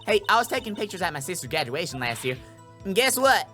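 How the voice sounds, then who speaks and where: A man's voice: high-pitched, very animated, quick pace, pushed and bright, faint music behind, pitched above the performer's own voice, full character voice. A man voicing a brash, folksy Southern woman photographer in a video game, with a slangy, boastful drawl. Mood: chatty.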